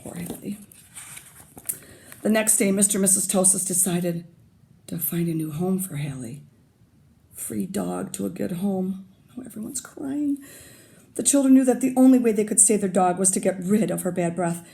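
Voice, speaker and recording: Gravelly-voiced teacher reading aloud. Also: female voice, mid-range voice, mellow. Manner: pauses to comment and ask listeners questions. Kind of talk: reading a picture book aloud to children.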